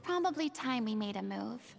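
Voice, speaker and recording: A woman voicing a remorseful, anxious young woman in a video game, soft, breathy and hesitant. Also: fairly high pitch, lively intonation, moderate pace. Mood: wistful. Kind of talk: video game cutscene dialogue. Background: faint game music.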